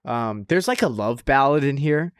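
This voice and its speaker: A man with a mid-pitched voice co-hosts a casual podcast. He talks in slangy, sweary, enthusiastic bursts and leans hard on his recommendations. The sound is dry, with very little room. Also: lively intonation, moderate pace, smooth, no room sound. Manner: profane, slangy. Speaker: podcast co-host. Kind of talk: casual chat about anime.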